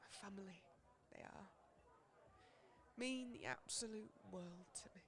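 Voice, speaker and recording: A woman acting as a warm-hearted, chatty older widowed woman from a community radio play, with a Northern English accent. She takes her time, her pitch rising and falling freely, in a bright, forward voice. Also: wildly swooping pitch, unhurried, gravelly, exaggerated voice. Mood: wistful, grieving.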